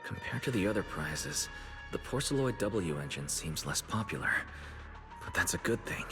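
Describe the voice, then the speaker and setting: An actor voicing a quiet, thoughtful young man in a hushed, husky game monologue. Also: sits low, lively intonation, normal speed. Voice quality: husky, breathy. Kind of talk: game cutscene inner monologue. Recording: long reverb, faint music behind.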